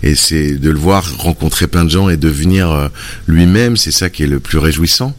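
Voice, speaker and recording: A man with an extremely low, deep voice talks about his songs as an interview guest. He speaks hesitantly, stopping often and restarting his sentences. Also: moderate pace. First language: French. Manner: hesitant, many fillers and restarts. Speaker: musician guest. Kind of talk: relaxed conversational interview.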